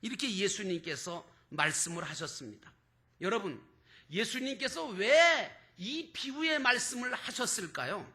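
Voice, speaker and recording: Male preacher, extremely high and forceful voice, declamatory, rhetorical sermon style. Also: very animated, measured pace. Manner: emphatic, declamatory, stretches key words, rhetorical questions. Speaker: pastor preaching to a congregation.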